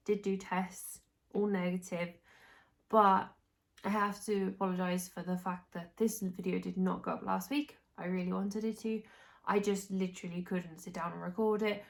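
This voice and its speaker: A young woman from England hosting a knitting podcast, chatting casually while she sounds nasal and hoarse from a recent illness, with a rambling, restarting way of talking. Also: mid-range voice. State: hoarse, congested, recovering from illness. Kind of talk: casual chat to camera.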